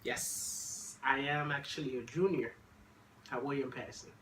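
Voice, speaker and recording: A man's voice: mid-range voice, fairly even intonation, a little slow, echoey room, noisy background. A man in his twenties from Haiti, a college student joining his sister's video, joking and teasing in a laid-back way. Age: 20s. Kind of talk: casual chat.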